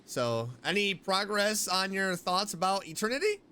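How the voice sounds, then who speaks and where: Bright, playful woman streamer. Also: mid-range voice, very animated, moderate pace, a little hiss or hum. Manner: laughs often, playful. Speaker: streamer playing a game. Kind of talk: casual let's-play commentary.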